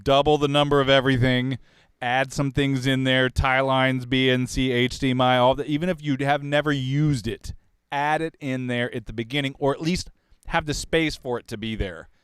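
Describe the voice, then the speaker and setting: Guy from kansas, podcast host, smooth resonant voice, talks shop loosely with little laughs. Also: mid-range voice, fairly even intonation, moderate pace, fairly bright tone, no room sound, studio quality. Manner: rambling, restarts questions, chuckles. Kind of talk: casual studio shop talk.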